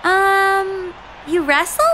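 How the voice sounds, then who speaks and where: Unsure backstage interviewer, perky, sharp high voice, video game cutscene. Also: female voice, fairly even intonation, drawn-out words, a little hiss or hum, exaggerated voice. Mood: hesitant.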